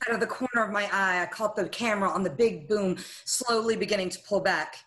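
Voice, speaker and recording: A woman's voice: mid-range voice, brisk, fairly bright tone, close to an everyday voice, rough recording. A woman playing a secretive studio employee in a 1940s radio noir, narrating in a confessional way. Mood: tense, confiding. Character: scheming young female studio employee, secretly complicit in murder.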